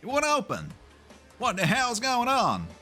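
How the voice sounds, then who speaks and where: A man's voice: extremely high pitch, wildly swooping pitch, a little slow, music in the background, heavily acted. A man playing a tense, suspicious fighter in an amateur sci-fi game fan dub. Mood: agitated, suspicious.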